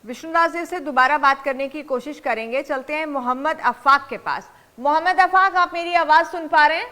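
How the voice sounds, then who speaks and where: Bright, high-pitched woman news anchor. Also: normal speed. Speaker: TV news anchor hosting a debate. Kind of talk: live news debate moderation.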